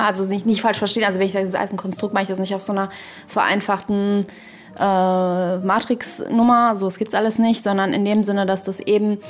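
A woman in a reflective podcast conversation, speaking in a halting, searching way and often adding little tag questions. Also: mid-range voice.

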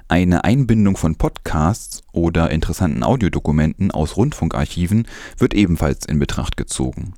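Low-pitched man reading the news. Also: expressive, normal speed, hardly any pauses, warm tone, no echo, clean studio sound. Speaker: news presenter. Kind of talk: scripted audio news reading.

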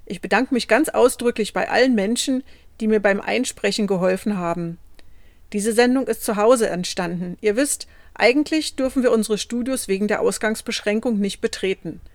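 A woman's voice: mid-range voice, moderate pace, no room sound. Woman radio host, dry close sound. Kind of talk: scripted introduction to a radio collage. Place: at home.

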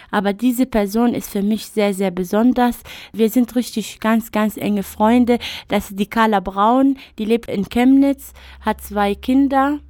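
A woman's voice: mid-range voice, a little mellow, dry recording, clean studio sound. A woman whose first language is Arabic, speaking German in a radio interview and recounting her own life thoughtfully with some hesitations and fillers. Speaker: interview guest. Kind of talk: radio interview, personal account.

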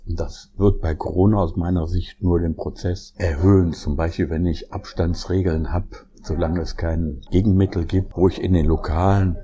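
A middle-aged man from Essen, a professor of economic geography, answering interview questions in a measured, explanatory way. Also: low-pitched, warm tone, slight background noise. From Essen, Germany.

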